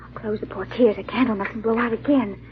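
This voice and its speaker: An actress playing an anxious, determined, secretive wife in an old-time radio drama, urgent and fretful. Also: female voice, a little high, steady tone, a little fast, lightly acted. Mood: anxious, commanding. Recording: thin, phone-like, noisy vintage radio recording.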